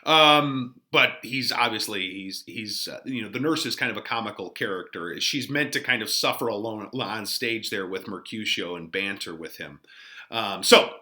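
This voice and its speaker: A man's voice: fairly low pitch, pushed and bright. A man teaching in an informal commentary, explaining in a conversational, emphatic way as an English teacher would.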